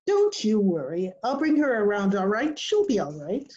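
A woman's voice: medium pitch, wildly swooping pitch, moderate pace, mellow. A woman playing a cheerful, matter-of-fact vet in old-time radio comedy style over a video call. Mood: reassuring. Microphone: video call computer mic. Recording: slightly dull video-call audio.

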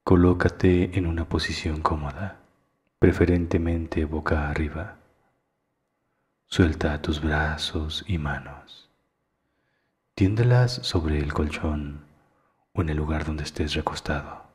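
A man narrating a guided meditation in a hushed, soothing, deliberate way with long pauses. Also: rumbling low.

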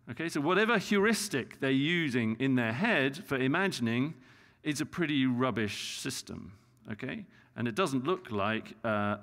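A man's voice: mid-range voice, fairly bright tone. A middle-aged man with a British accent giving a conference keynote, explaining results with many fillers and restarts. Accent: British English. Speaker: keynote speaker. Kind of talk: conference lecture. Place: large conference hall. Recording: hall reverb.

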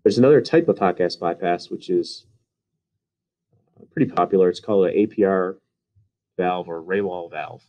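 A man with a low, mellow voice talks through technical slides in a relaxed voice-over. He explains things casually, with plenty of ums and uhs along the way. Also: moderate pace, pauses often. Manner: casual, uses fillers. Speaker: HVAC instructor.

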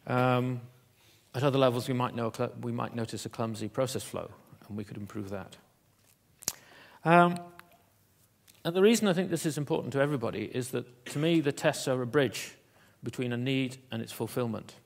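Gravelly-voiced man giving a halting keynote. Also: medium pitch. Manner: halting, many fillers and restarts. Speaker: keynote speaker. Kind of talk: conference keynote talk.

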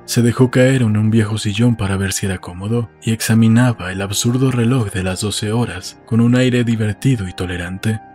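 A man's voice: on the low side, normal speed, few pauses, a little mellow, faint music behind. A man reading scripted literary narration as the narrator of an audiobook.

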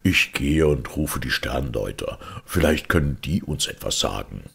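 A man voicing a sorrowful sultan, a loving father lamenting slowly and heavily in a fairy tale. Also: rumbling low, animated, vocal fry, a bit bright, breathy edge, pitched below the performer's own voice, full character voice. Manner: lamenting, slow, heavy.

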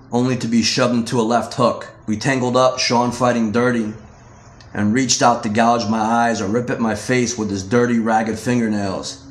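A man narrates a novel as its author, reading from the script. His voice sits at an average pitch, and the recording has a clear roomy echo.

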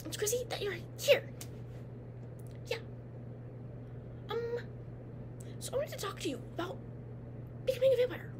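A woman's voice: very high voice, animated, measured pace, pauses often. A young woman playing a haughty, jealous teen vampire girl in a comedic roleplay, blunt and sarcastic with whispered asides, recorded on a webcam in a dim bedroom. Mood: snide. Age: young adult. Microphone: webcam at close range. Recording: noisy home webcam audio.

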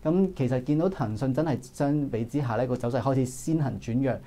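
A man in his thirties with a Hong Kong Cantonese accent, giving brisk analytical stock market commentary in a studio. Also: mid-range voice, warm tone. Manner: brisk, analytical, frequent filler particles. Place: indoor studio.

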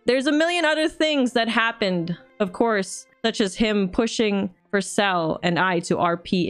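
Young woman, streamer, reads a story aloud, earnest then chatty, home desk mic, faint music underneath. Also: mid-range voice. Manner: steady earnest reading, turning loose and chatty when reacting. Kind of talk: reading a viewer's story aloud, then reacting.

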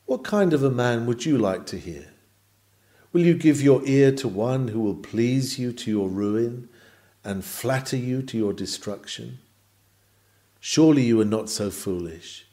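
Mellow-voiced man reading an earnest sermon. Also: mid-range voice. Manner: earnest, exhorting, rhetorical delivery. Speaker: sermon reader. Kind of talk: scripted sermon reading, oratorical.

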